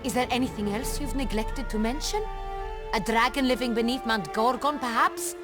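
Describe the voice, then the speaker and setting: A woman's voice: on the high side, normal speed, over music, natural voice. An actress playing an imperious, proud noblewoman ruler in a fantasy game, formal, commanding and dramatic. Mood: indignant. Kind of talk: theatrical fantasy game acting.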